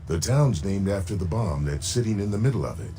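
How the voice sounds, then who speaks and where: An actor playing a gruff, plainspoken frontier sheriff in a video game, wary but decent, with folksy, blunt talk. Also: male voice, sits low, expressive, a little fast, dark tone, slight background noise. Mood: plainspoken, wry. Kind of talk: video game character dialogue. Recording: music behind the voice.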